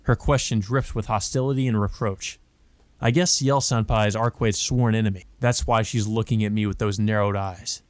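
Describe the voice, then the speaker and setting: A man's voice: on the low side, fairly even intonation, moderate pace, dry recording, natural voice. A man voicing an earnest young male student who narrates his thoughts in a visual novel. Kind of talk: visual novel monologue read aloud.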